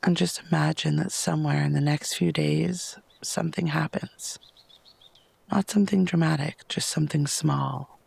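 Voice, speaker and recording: A man with a slightly high-set voice guides a meditation in a soft, gentle tone full of pauses. Heavy vocal fry runs through his phrases, which often fade toward a whisper. The sound is close and dry. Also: fairly even intonation, no room sound. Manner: soft, breathy, lapsing into near-whispers; unhurried, gentle, with long pauses.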